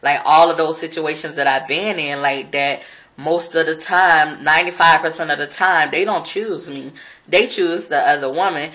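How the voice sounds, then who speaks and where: A woman in her mid-thirties speaks to the camera in a candid, profane vlog. Her voice sits a little low for a woman but has a bright, forceful edge. She talks straight to her viewers, frank and reflective. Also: fairly even intonation, moderate pace. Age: 30s.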